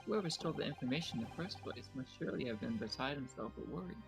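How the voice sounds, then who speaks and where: A man voicing an earnest young defence lawyer in an amateur courtroom game dub, measured, formal and probing. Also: mid-range voice, expressive, gravelly, over music. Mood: accusing.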